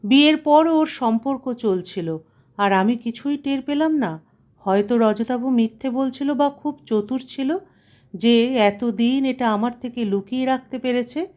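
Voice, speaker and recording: A woman reading a scripted first-person story in an expressive, sentimental manner. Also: fairly high pitch, unhurried. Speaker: story narrator.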